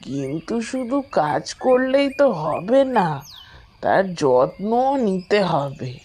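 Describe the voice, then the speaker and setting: A woman voicing a caring, shrewd old village grandmother in a cartoon, speaking in a measured, inquisitive way. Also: sits low, very animated, darkish tone, slight background noise, exaggerated voice. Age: older. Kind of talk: Bengali moral-tale cartoon voice acting.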